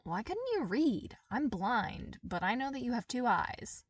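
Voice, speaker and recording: A woman's voice: on the high side, sing-song, a little slow, no room sound, distant mic, voice pushed up. A woman voicing a blunt, bossy young girl who is tough but caring, in a story reading. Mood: blunt, curious. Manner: blunt, demanding.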